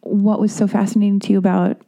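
A woman interviewing an author, chatty and effusive, reacting quickly in a casual conversation. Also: mid-range voice, level tone, creaky, mellow.

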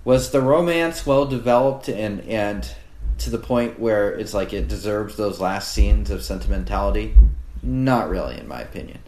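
A man co-hosting a casual film-review podcast, who gives his opinions in a measured, hedging way. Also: lowish voice, animated, darkish tone, slight background noise. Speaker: podcast co-host. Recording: echoey room.

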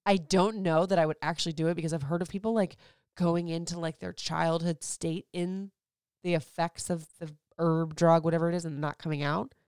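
Rambly host lady from florida, lowish voice, dry close sound. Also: fairly even intonation, a little fast, a little mellow, clean tone, no room sound. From Florida, USA. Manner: chatty, rambling, candid, with many "like"s and "um"s. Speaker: podcast host answering listener questions. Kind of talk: casual chat.

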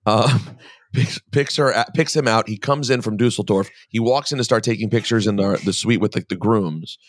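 A man's voice: fairly low pitch, moderate pace. A middle-aged man hosting a podcast, telling a rambling story in casual chat, often cutting himself off and laughing. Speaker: podcast host.

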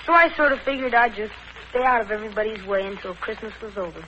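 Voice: mid-range voice, fast talker, bright, forceful tone, muffled recording, lightly acted. A performer playing a sad, lonely little boy with a plaintive manner in a 1940s radio drama. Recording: noisy old radio broadcast.

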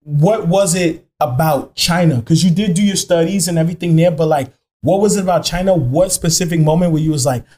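A man's voice: fairly high pitch, a little fast, few pauses, a little mellow. A man hosting a casual podcast, putting informal, meandering questions to his guest. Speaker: podcast host. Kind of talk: casual interview chat.

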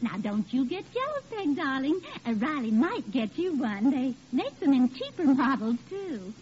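An actress playing an affected, gushing, catty married neighbor in 1940s radio comedy. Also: female voice, high-pitched, sing-song, moderate pace, a little mellow. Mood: sweetly catty. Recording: muffled old radio recording.

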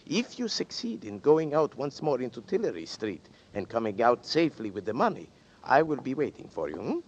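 A man's voice: medium pitch, big pitch swings, brisk, a little hiss or hum, lightly acted. An actor playing a smug, mock-polite male blackmailer in a 1940s radio drama, taunting and theatrical. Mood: scornful. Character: sly, mocking male blackmailer, scornful and smug. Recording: dull 1940s radio broadcast.

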